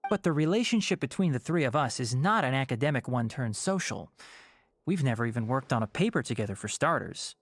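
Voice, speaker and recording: A dry, lively-toned young scholar. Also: male voice, medium pitch, no echo. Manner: measured and dryly sarcastic. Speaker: scholar and guardian. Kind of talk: video game voice acting. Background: game music.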